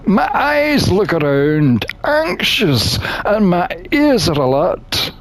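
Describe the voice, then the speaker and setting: A gamer reading a visual novel aloud as expressive scripted narration, with theatrical, deliberate phrasing. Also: mid-range voice.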